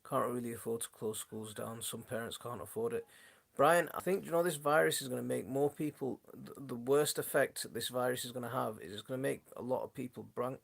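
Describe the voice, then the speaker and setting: A man hosting a gardening channel, chatting casually on a live stream from his home, his voice going hoarse, with a rambling, friendly way of talking. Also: medium pitch. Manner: rambling, many restarts, chatty and friendly toward viewers. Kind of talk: casual live-stream chat answering viewers. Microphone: phone.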